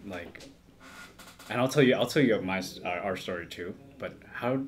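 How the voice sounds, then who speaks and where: A man's voice: lowish voice, normal speed, a little hiss or hum. A man hosting a podcast, asking questions in an informal, playful interview style. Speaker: podcast host. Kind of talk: casual interview.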